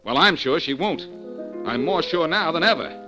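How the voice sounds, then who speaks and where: A man's voice: fairly high pitch, expressive, moderate pace, music in the background, lightly acted. An actor playing a blunt, dogged detective in an old radio drama, insistent and emphatic in his questioning. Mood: urgent, exasperated. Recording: muffled vintage radio recording.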